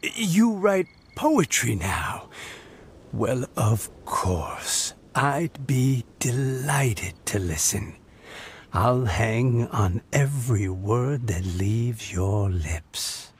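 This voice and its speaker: An actor playing a kindly, sentimental old grandfather in a game cutscene, halting and chuckling. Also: mid-range voice, animated, slight background noise. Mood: tender, wistful. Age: older. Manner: halting, chuckling, stammering with emotion. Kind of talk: video game cutscene voice acting. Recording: music behind the voice. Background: background music.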